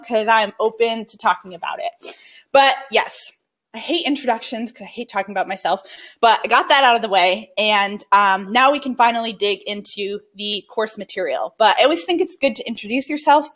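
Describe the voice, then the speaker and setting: A young woman teaches a live online writing class in a chatty, casual way, full of ums and likes. She sounds a little congested from a recent sinus infection. It is picked up on a laptop or webcam mic. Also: fairly high pitch. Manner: chatty, warm-hearted, many fillers.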